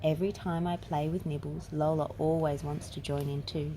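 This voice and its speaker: A woman's voice: low voice, flat delivery, moderate pace. A woman narrating a children's picture book aloud as a storyteller. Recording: clear background noise.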